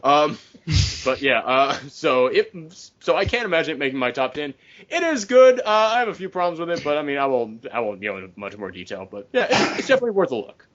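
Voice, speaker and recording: A man's voice: fairly high pitch, big pitch swings, a little fast, fairly bright tone. A man chatting casually as a podcast member about films, rambling and qualifying as he goes. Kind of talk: casual panel chat. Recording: dull lo-fi online call.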